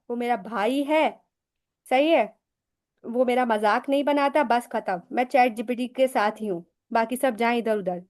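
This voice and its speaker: High-voiced woman teaching in a chatty way. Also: a little mellow. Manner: chatty, explains with rhetorical questions and personal examples; mixes English phrases into her talk. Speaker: English teacher hosting a live session. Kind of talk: casual live teaching talk.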